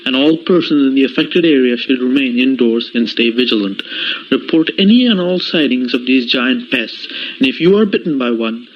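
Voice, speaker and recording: A government health official played by a man with a mid-pitched voice gives a formal warning in an audio drama. He is stern and deliberate, heard through muffled radio sound. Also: lightly acted. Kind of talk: formal public health statement.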